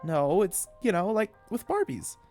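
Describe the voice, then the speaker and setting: Man voicing an anxious, eager, high-pitched schoolgirl. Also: big pitch swings, unhurried, a little mellow, soft background music. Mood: defensive, embarrassed. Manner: rambling, earnest, easily flustered. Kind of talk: dramatic first-person story reading.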